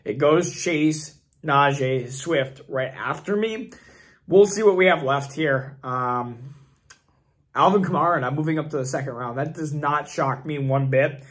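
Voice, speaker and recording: A young man with a hoarse, fading voice hosts his channel, rambling and thinking aloud with many fillers as he talks through his picks. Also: fairly high pitch, unhurried, fairly bright tone. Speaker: fantasy football channel host. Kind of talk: casual screen-share commentary.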